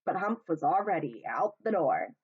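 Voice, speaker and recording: A woman narrating a children's storybook aloud as a teacher would, in a measured, expressive storytelling manner. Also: fairly low pitch, breathy edge, no echo, phone-quality sound.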